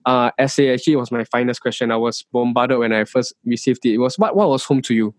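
A man's voice: mid-range voice, fast, dry recording. Young man, Singaporean English accent, hesitant, restarts often, dry close sound. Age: young adult. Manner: hesitant, many restarts and fillers. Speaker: interview guest. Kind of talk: casual interview chat.

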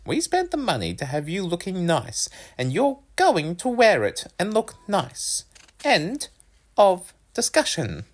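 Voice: medium pitch, huge pitch swings, measured pace, pitched above the performer's own voice. A narrator voicing a cheerful, teasing mum in a storybook, playful and exclaiming, firm when cross.

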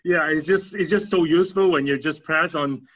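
A man in a class discussion, speaking haltingly and searching for words. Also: a little high, a bit bright. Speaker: class participant. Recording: muffled, lo-fi.

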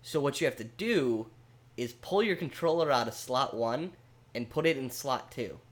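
Animated rambling podcast host, man. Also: mid-range voice, moderate pace. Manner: animated, rambling explainer with casual swearing. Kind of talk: casual podcast chat. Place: home basement studio.

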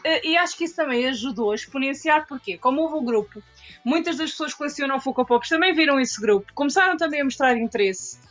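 Guest, female, European Portuguese accent, high voice, muffled sound. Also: lively intonation, fast, fairly bright tone, soft background music. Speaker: podcast guest. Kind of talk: casual chat.

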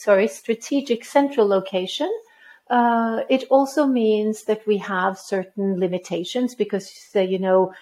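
A woman in her fifties from Norway, a head of school answering interview questions in a measured way, with frequent ums and restarts. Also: mid-range voice, darkish tone.

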